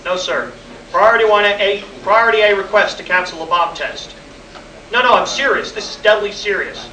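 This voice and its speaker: Bright, high-voiced air base boss under pressure played by a man, sci-fi radio play, echoey distant recording. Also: normal speed. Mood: grave, pleading. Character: Hank Hanson, urgent air base project chief under pressure. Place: live convention performance, recorded from the room. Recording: echoey distant room recording with faint noise.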